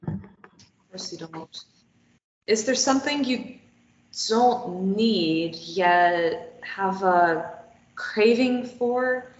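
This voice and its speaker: A woman's voice: medium pitch, drawn-out words, pauses often. A woman reading a sardonic, probing young captive at a play table read, teasing and wry. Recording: echoey room, muffled lo-fi.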